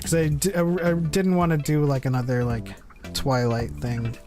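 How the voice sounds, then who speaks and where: A male podcast host who talks in a loose, rambling way and keeps restarting his sentences. His voice sits at a middling pitch, with faint music playing behind him. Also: level tone, normal speed. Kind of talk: casual chat.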